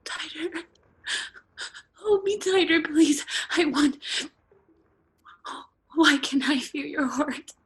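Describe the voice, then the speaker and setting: An actress playing a gaunt, haunted young woman, broken and delirious with grief, in a mournful, fragmented dramatic play reading. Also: high-pitched. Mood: fragile, haunted. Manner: slow, fragmented, mournful delivery.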